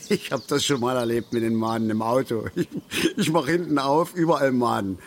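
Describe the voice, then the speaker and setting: Male actor as grumbling middle-aged angler, mid-pitched voice, folksy lecturing manner, radio drama, faint outdoor background noise. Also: normal speed, lightly acted. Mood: amused, chatty. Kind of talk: naturalistic German radio drama acting.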